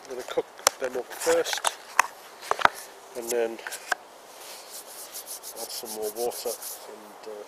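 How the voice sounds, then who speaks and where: A British man in his forties talks through his camp lunch in an easy, step-by-step way, his pitch sitting right in the middle for a man. He is recorded outdoors on a camera mic, with steady outdoor noise clearly heard around him. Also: takes it slowly, pauses often. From United Kingdom. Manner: chatty step-by-step explaining. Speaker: outdoor vlogger. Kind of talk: casual outdoor cooking vlog. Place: woodland picnic table outdoors. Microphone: camera mic, handheld then on a tripod. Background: open outdoor air in woodland.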